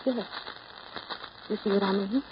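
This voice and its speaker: Radio drama, late 1930s: actress as clinging, self-pitying woman, emotional and halting delivery, muffled recording. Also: mid-range voice, steady tone, background noise, natural voice. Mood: wistful coaxing. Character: restless, needy, self-pitying woman afraid of getting old.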